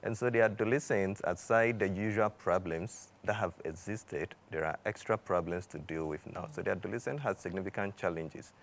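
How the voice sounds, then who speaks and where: Very deep-voiced man, measured. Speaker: doctor guest. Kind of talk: expert commentary on a talk show.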